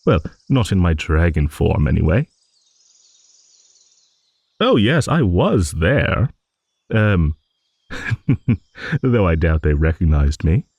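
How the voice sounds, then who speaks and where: Casting: male actor as ancient genial dragon for fantasy audio, deep and unhurried, given to musing rambles. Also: very animated, creaky, breathy edge, no room sound, studio quality, heavily acted. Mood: playful storytelling. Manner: rambling, musing, with hums and soft chuckles. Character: rambling old dragon, genial, wistful and a little vain. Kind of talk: improvised fantasy audio monologue.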